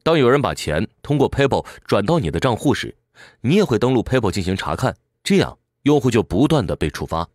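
Guy explaining stuff like a book summary narrator, super lively pitch swings, dry close sound. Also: brisk, dry recording.

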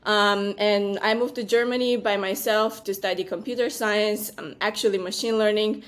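Young woman with a Romanian accent. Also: medium pitch. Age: young adult. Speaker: podcast guest, venture capital partner. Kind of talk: conversational interview answers. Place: room at home with bookshelves.

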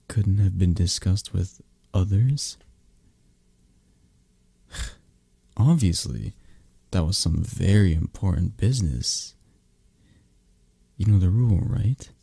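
A man playing a possessive, menacing mafia boss lover in an ASMR roleplay, with a breathy, gravelly voice and a halting, condescending manner. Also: low voice, lively intonation, warm tone, dry recording, full character voice. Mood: controlled jealousy. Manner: slow, halting, controlling, condescending. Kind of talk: ASMR roleplay, intimate close-up acting.

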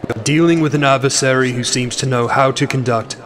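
Man acting military marshal, sci-fi audio drama, formal, measured, evasive, medium pitch. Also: a bit bright, natural voice. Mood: firm, curt. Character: evasive, curt military marshal facing the press.

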